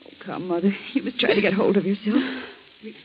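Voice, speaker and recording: An actress playing an anxious, tender young daughter in a 1940s radio melodrama, emotional and pleading. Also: female voice, mid-range voice, fast, breathy edge. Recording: dull old 1940s radio recording.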